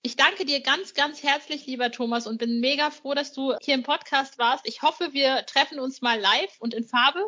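A woman's voice: sits high, normal speed, hardly any pauses, bright, forceful tone, lo-fi sound. A woman hosting a podcast, chatting and presenting in an informal, enthusiastic manner. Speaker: podcast host.

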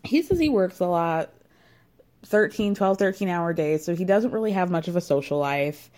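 Young woman, casual gossip commentator, sarcastic and dishy, relaxed dark timbre. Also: lowish voice, moderate pace. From United States. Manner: sarcastic, gossipy, lots of fillers and laughs. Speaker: commentator recapping a reality dating show.

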